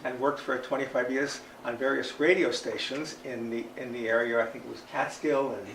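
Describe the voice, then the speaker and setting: A man with an American accent introducing a guest speaker in an informal, halting way. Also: mid-range voice, fairly even intonation, vocal fry, a bit bright. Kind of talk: event introduction. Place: meeting room. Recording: echoey room, distant mic, faint noise.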